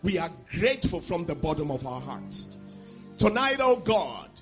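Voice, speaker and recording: A man preaching a fervent sermon, sounding nasal from a cold, pausing line by line for his interpreter. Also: a little high, sing-song. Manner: fervent, call-and-response pacing. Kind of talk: sermon with prayer and scripture reading. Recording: dull lo-fi church recording with faint music.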